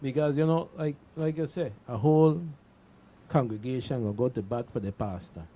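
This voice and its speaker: A man's voice: medium pitch, normal speed, mellow. A man with a Caribbean accent talking casually on a panel, emphatic and often repeating himself to make his point. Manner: emphatic, repeats himself, checks listeners understand. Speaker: panel discussion participant. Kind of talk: casual panel talk with personal anecdotes.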